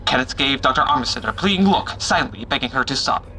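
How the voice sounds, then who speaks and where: Man as a bright, theatrical serial announcer. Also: medium pitch, animated, fast, slight background noise. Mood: storytelling. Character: dramatic male serial announcer and narrator. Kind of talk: scripted narration of adventure serial.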